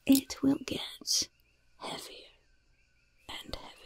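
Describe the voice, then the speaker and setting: A woman's voice: fairly low pitch, full character voice. A woman voicing a sly goblin shopkeeper who sells poisons and curses, in a gleefully understated, coaxing whispered ASMR roleplay. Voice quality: breathy, gravelly whisper. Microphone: close microphone.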